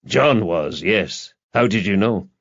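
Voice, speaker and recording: Guarded male insider, acted by a man reading: measured, matter-of-fact, lowish pitch, audiobook drama. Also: animated, creaky, dry recording, dull audio, full character voice. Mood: confiding. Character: guarded, reluctant male confidant of a reporter.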